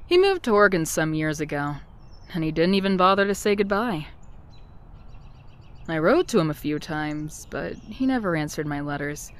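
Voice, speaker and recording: Woman doing a wry, wistful young narrator with a lively, animated pitch. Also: moderate pace. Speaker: narrator of her own past. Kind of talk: comic dub narration.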